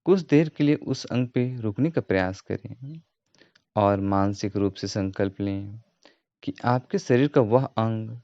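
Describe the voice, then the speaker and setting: A man giving guided meditation instruction as a yoga teacher, in a calm, measured, repetitive way. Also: on the low side, vocal fry. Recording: roomy echo, dull muffled sound.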